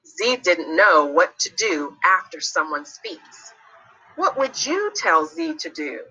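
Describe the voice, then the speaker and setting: A woman's voice: fairly low pitch, big pitch swings, slow, sharp tone, husky, lo-fi sound. A woman narrating a children's read-along story expressively, putting guiding questions to young listeners.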